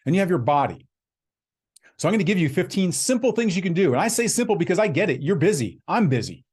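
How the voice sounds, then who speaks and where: A man's voice: high-pitched, sing-song, quick pace, darkish tone, breathy edge. A man in his fifties hosting a podcast, delivering a blunt, emphatic motivational monologue. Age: 50s. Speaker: podcast host.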